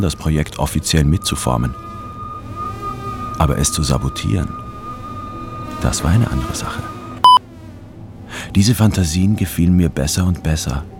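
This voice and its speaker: A man's voice: low-pitched, natural voice. An actor playing a brooding, obsessive intellectual man who narrates his own story in a literary radio drama. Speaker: narrator and protagonist.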